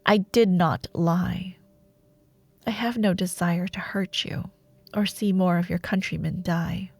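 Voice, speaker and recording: A woman playing a seductive, ruthless female dark warlord in a fantasy audio roleplay, speaking deliberately with silky menace and breathy murmurs. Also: lowish voice, fairly even intonation, dry recording. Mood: seductive, coaxing. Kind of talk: dramatic fantasy audio roleplay monologue, ASMR.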